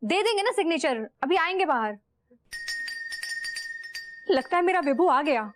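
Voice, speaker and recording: A woman's voice: very high-pitched, lively intonation, unhurried, background noise. An actress playing a polished, insistent modern wife who mixes English words into her speech.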